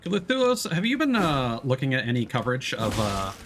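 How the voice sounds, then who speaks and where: Man, lowish voice, lively intonation, casual game-stream commentary, some background noise. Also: brisk. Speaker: streamer playing a video game. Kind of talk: casual live gameplay commentary.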